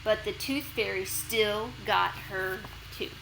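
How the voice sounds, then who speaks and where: A woman narrating for children as an expressive read-aloud storyteller and host. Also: medium pitch, takes it slowly, background noise. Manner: expressive, clearly addressed to children.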